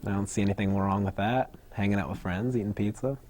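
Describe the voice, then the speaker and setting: A man's voice: fairly low pitch, fairly even intonation, quick pace, darkish tone. A man giving a casual testimonial.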